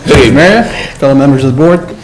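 A man of middle pitch gives a formal presentation to a school board. He speaks in measured steps and stops often to say uh. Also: a little hiss or hum, rough recording. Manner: measured, with frequent uh fillers and restarts. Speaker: career and technical education presenter. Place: school board meeting room.

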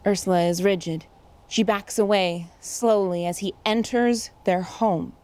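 A woman's voice: mid-range voice, fairly even intonation, a little slow, mellow. A woman reading scripted narration and stage directions for an audio drama.

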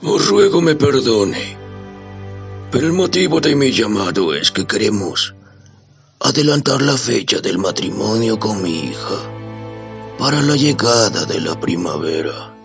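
A male actor voices an aging aristocratic father in a period drama. He is formal and courteous, with a fairly low, gravelly voice. Music plays clearly behind him. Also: sing-song, unhurried. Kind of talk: historical audio drama.

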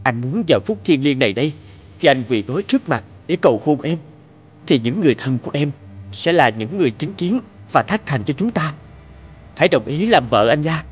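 A man voicing a devoted, romantic young boyfriend in an animated drama, speaking earnestly, tenderly and politely. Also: on the high side, brisk, breathy edge, lo-fi sound. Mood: devoted, solemn.

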